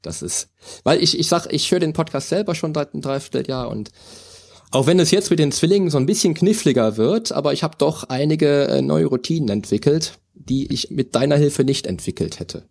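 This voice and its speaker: A man's voice: on the high side, expressive, fairly quick, creaky, a little mellow. A man hosting a podcast, chatty and rambling, with frequent laughs as he talks. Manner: chatty, rambling, many fillers and restarts, laughs often. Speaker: podcast host, personal trainer. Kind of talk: casual podcast chat and sign-off.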